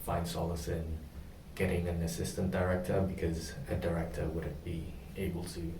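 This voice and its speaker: Hesitant young man with a very low, flat voice. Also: echoey room, far from the mic, background noise. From Indonesia. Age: young adult. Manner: hesitant, many pauses and ums. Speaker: job candidate in a practice interview. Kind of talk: mock job interview answers.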